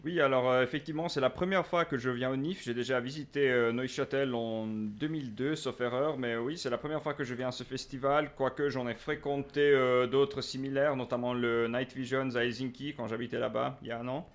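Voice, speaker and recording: A man in his twenties with a Swiss French accent, a guest film critic speaking in a measured, hesitant way. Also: mid-range voice, fairly even intonation. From Finland. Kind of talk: casual chat.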